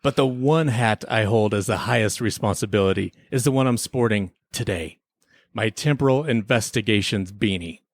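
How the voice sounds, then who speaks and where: A man's voice: fairly low pitch, moderate pace, no echo. Man as smug chief investigator, mock-serious, theatrical, comic radio drama monologue, dry studio-like sound. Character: smug male chief temporal investigator confronting a rogue. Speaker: podcast creator playing himself as investigator.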